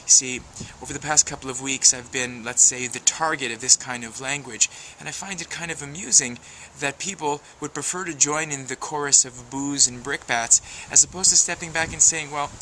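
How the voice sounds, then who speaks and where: A man's voice: medium pitch, moderate pace, sharp tone, a little hiss or hum, poor audio. A man giving a wordy, emphatic, preachy advice monologue as a video blogger.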